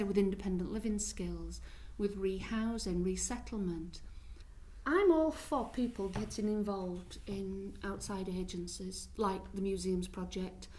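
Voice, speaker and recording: A woman's voice: medium pitch, fairly even intonation, measured pace, a little mellow, rough recording. A middle-aged woman who works as a hostel support worker, speaking earnestly in an interview with a few restarts. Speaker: independent living skills worker at a hostel. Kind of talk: interview talk.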